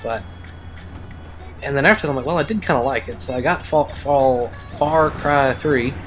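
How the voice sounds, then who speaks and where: A man's voice: mid-range voice, moderate pace, soft background music. A man giving casual let's play commentary as a gaming video host, rambling and wry.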